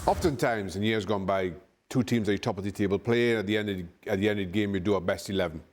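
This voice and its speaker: Low-voiced measured football pundit. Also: male voice, faint music behind. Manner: measured, restarts sentences. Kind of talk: studio panel analysis.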